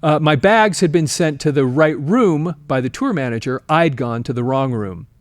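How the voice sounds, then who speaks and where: A man's voice: fairly high pitch, normal speed, dry recording, clean studio sound. A man hosting a music history show, telling stories informally and reading quotes aloud in a chatty, often amused way.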